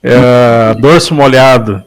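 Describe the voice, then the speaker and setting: A man telling a personal story in a casual study-group discussion on a video call, in a calm, measured way. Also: mid-range voice, smooth, no echo. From Brazil.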